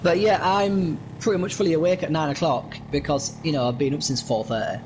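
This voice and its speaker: A man's voice: on the high side, a little fast, a little hiss or hum, poor audio. Easygoing British man gaming with a friend. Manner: relaxed, stammering restarts. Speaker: co-streamer guest. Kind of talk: casual gaming stream chat.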